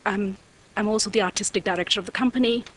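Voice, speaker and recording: Croaky-voiced woman making a formal presentation. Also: medium pitch, quick pace, a little hiss or hum. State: croaky voice, needing water. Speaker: artistic director of a dance company, guest speaker. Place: council meeting room.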